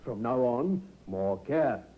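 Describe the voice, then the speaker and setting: A man playing a brisk, paternal doctor with a clipped, instructive manner in a 1950s radio drama. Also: mid-range voice, animated. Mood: brisk, reassuring. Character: brisk, paternal male family doctor, reassuring. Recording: thin, tinny old radio recording.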